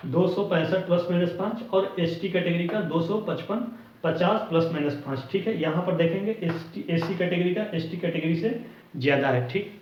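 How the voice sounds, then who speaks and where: A man's voice: high voice, slight background noise. A man giving an informal explanatory lesson as an exam coaching teacher, often checking in with short tag words. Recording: roomy, distant mic, dull lo-fi sound.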